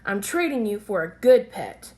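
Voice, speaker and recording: A woman voicing a stubborn but soft-hearted young child in a picture book, speaking emphatically. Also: mid-range voice, sing-song, moderate pace, pitched below the performer's own voice, close to an everyday voice. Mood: firm, stubborn.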